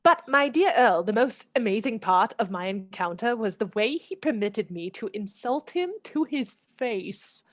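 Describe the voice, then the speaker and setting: A woman's voice: on the high side, lively intonation, measured pace, few pauses, dry recording. Arch noblewoman Marian. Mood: scornful. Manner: teasing, arch and theatrical. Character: proud witty noblewoman Marian, mocking and spirited. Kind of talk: radio play acting.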